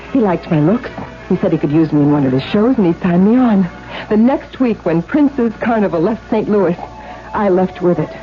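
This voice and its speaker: A woman's voice: lowish voice, close to an everyday voice. An actress playing a bold, protective elder sister in her thirties in a 1970s radio drama, urgent and coaxing. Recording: dull vintage radio recording, music behind.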